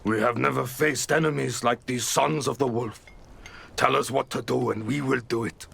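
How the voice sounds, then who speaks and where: A man playing a beleaguered Norse village leader in a video game, pleading and deferential in a creaky, hoarse-sounding voice. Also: medium pitch, fairly even intonation, normal speed, fairly bright tone, exaggerated voice. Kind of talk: dramatic game acting.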